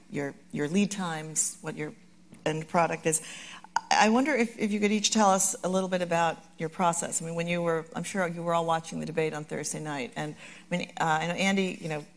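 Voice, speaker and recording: A woman's voice: on the low side, fairly quick. A woman moderating a festival panel, asking long, winding questions of the panelists. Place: festival stage.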